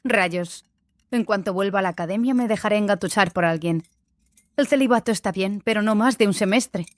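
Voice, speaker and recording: A woman's voice: medium pitch, a little fast, no echo, clean studio sound, lightly acted. A woman playing a frank, touchy young female sorcery student who sees visions in dreams, defensive and sardonic, in an audiobook drama. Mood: wry longing.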